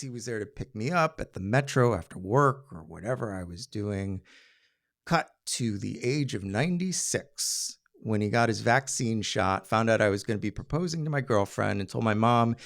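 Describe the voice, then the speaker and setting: A man's voice: mid-range voice, animated, normal speed, vocal fry. A man telling a personal story live, as a storyteller before an audience.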